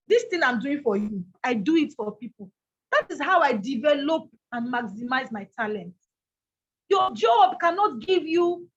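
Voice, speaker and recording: A woman with a Nigerian accent and a loud, strong voice, giving an emphatic motivational talk and repeating her key lines. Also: sits high, lo-fi sound. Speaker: motivational speaker.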